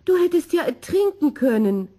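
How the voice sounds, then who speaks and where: Woman playing a motherly, high-voiced farmer's wife. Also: big pitch swings, moderate pace, warm tone. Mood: tender concern. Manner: motherly, fussing. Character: kindly, caring farmer's wife.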